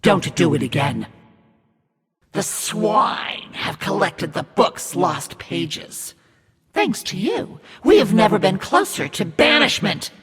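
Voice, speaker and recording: Raspy high-pitched demonic villain. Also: male voice, big pitch swings, slow pace, fairly bright tone, full character voice. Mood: scornful, threatening. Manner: menacing, commanding, mocking. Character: demonic evil narrator, menacing, scornful, imperious servant of darkness. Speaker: game narrator. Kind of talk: theatrical video game villain narration. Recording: long reverb over music.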